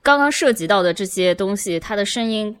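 Mid-pitched woman podcast co-host. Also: expressive, brisk, fairly bright tone, smooth. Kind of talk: casual chat, asking questions.

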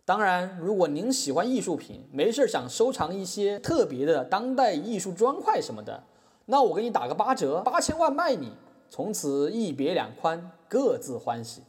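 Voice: mid-range voice, sing-song, moderate pace, natural voice. A performer playing a flippant artist activist, mocking and playful, in a scripted audio drama. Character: cheeky, flippant artist activist taunting a company boss.

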